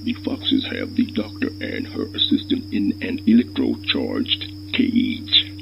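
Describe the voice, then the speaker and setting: A man playing a gruff, dutiful rabbit lieutenant commander in an audio space drama, with a very deep, gravelly voice. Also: big pitch swings, measured pace, highs cut off, noisy background, full character voice. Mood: dutiful, tense. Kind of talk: scripted audio drama acting.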